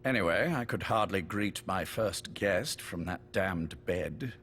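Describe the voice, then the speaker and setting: An actor playing a courteous but menacing older spymaster in a video game, urbane, measured and wryly polite. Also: male voice, sits low, a bit bright, slight background noise. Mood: genteel, wry. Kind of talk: dramatic game voice acting.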